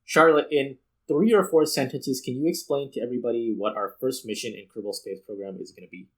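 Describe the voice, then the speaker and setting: A man's voice: medium pitch, a little fast. A man hosting a gameplay video with casual commentary, thinking aloud as he explains. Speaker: video game streamer and host.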